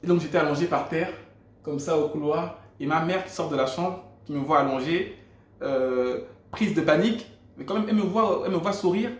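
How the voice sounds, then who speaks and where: Man, Gabonese French accent, fairly high voice, earnest faith testimony, echoey room. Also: brisk. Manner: earnest storytelling with frequent restarts. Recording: roomy echo.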